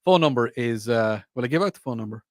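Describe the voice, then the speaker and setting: Playful radio host. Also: male voice, mid-range voice, animated, quick pace, darkish tone, dry recording, clean studio sound. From Ireland. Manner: playful, teasing, rambling. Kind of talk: casual radio chat. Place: radio studio. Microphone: studio microphone.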